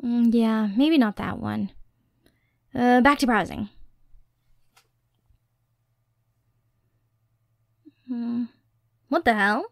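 A woman playing a dorky, affectionate young girlfriend in an intimate ASMR roleplay, expressive and teasing, close on the mic. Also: fairly high pitch, slow, gravelly, no echo. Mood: musing, casual. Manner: playful, expressive, teasing, nerdy rambling. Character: playful, dorky, Halloween-obsessed young girlfriend, excitable and affectionate. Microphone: close, dry studio microphone.

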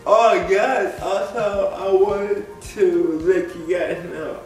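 A young man vlogging casually to camera from his living room, congested, halting and laughing often. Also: high voice, steady tone, darkish tone, soft background music. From United States. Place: living room with big windows. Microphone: camera across the room. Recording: echoey room, voice from far off.

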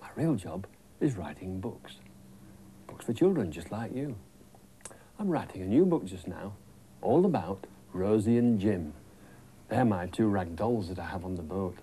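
A middle-aged British man presenting and telling stories to young children in simple, clearly phrased sentences. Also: lowish voice, lively intonation, unhurried, pauses often, mellow, slight background noise. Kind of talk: gentle scripted storytelling to young children.

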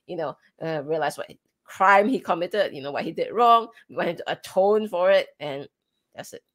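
Woman, lower-pitched, very lively intonation, emphatic and casual co-host with opinions. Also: normal speed. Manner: emphatic, conversational. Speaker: co-host of a comics talk show. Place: indoor room, on a video call.

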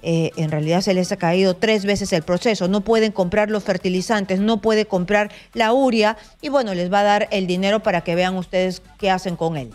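A middle-aged woman anchoring a news interview from a studio, asking brisk, direct questions. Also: mid-range voice, fairly even intonation, darkish tone, soft background music. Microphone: desk mic.

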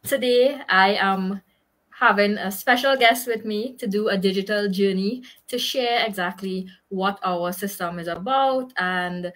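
A woman hosts a live video-call chat from a small room, speaking into a headset mic. Her voice is bright and forceful, and she keeps an upbeat, welcoming tone. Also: medium pitch. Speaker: host, business mentor.

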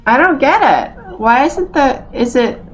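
A woman's voice: sits high, animated, a little slow. A young woman with a Boston accent, chatting casually and getting exasperated as she plays a store-management game on stream. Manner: chatty, exasperated, talks to herself while playing. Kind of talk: casual gameplay commentary. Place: small room indoors. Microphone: headset.

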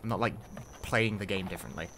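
A man's voice: fairly low pitch, fast talker, background noise. A young man giving casual live commentary as a speedrun streamer, often repeating phrases for emphasis. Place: indoor room at home.

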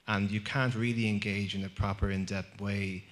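A man's voice: lowish voice, little pitch movement, normal speed, slight background noise. A man asking a long question from the floor at a conference, rambling and searching for words. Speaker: audience questioner. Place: conference function room.

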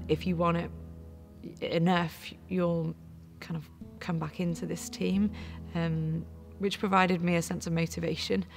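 A woman in her thirties, a netball captain, speaking thoughtfully with halting fillers in a seated interview. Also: fairly low pitch, creaky. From Wales. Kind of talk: reflective interview. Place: studio before a backdrop.

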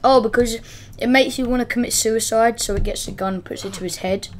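A woman with a high-pitched voice, co-playing a horror game and commenting, often shrieking in fright. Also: brisk, slight background noise. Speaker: co-player. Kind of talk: casual gaming commentary.

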